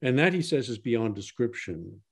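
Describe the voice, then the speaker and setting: A man's voice: medium pitch, animated, moderate pace, creaky, slightly rough. A man co-hosting a podcast in a casual, folksy way, repeating short phrases for emphasis. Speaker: podcast co-host. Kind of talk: casual, conversational discussion.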